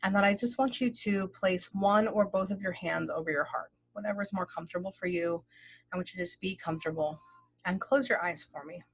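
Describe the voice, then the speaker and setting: A woman leading a guided breathing meditation, speaking slowly and gently with long pauses and casual fillers. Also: mid-range voice, breathy edge. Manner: slow, gentle, soothing instructions with long pauses. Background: soft background music.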